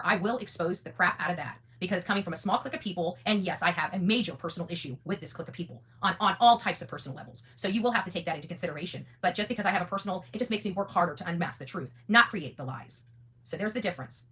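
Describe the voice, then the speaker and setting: A woman's voice: mid-range voice, racing, a bit bright, a little hiss or hum. A woman hosting an unscripted, opinionated monologue, blunt and defiant, often repeating phrases for emphasis and swearing freely. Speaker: online content creator and host.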